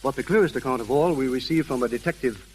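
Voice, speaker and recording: Man playing a fussy formal official, rattles along quick, wordy and polite, crackly old radio drama. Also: mid-range voice, a little mellow, natural voice. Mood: effusive, formal. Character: brisk, officious, overly polite foundation representative, adult man. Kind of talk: 1930s American radio drama acting. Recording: hissy, crackling 1930s radio recording.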